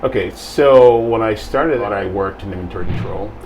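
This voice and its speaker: A middle-aged man from Barbados, seated in an interview and explaining his work in a measured way, with frequent pauses and fillers. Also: lowish voice, darkish tone, slight background noise. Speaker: guest, quality assurance technician. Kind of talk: casual interview answers. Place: small studio room with plain wall.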